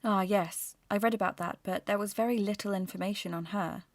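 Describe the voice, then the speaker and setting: A woman playing a curious, polite podcast host and investigator, speaking in a measured, everyday way as in a scripted audio drama. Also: mid-range voice, steady tone, no echo, lightly acted. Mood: polite, interested. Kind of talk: scripted narration and staged interview.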